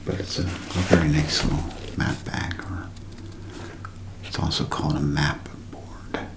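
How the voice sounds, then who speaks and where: A man gives an ASMR show-and-tell in a hushed whisper. His voice is extremely deep and creaky, and he takes his time, leaving gentle pauses between short phrases. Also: flat delivery, rough recording. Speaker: ASMR creator.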